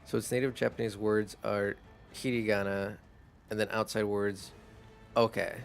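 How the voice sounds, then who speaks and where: A man whose first language is Spanish, chatting casually as a streamer while gaming, in a rambling, relaxed way. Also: lowish voice, moderate pace, creaky, soft background music. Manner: rambling, relaxed, laughs easily.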